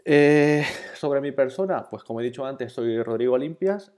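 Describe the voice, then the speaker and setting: A man from Bolivia, introducing an online programming course in a relaxed, conversational way. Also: medium pitch, moderate pace. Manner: relaxed, conversational, with fillers and repeated encouragement. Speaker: course instructor. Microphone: voice-over over slides.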